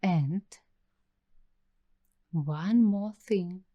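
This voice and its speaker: A woman performing an ASMR roleplay as a paranoid young waitress, speaking in a secretive, deliberate hush that drifts into whispers. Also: on the low side, muffled recording. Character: paranoid young waitress investigating a creepy attic mystery. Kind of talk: ASMR roleplay, slow scripted monologue.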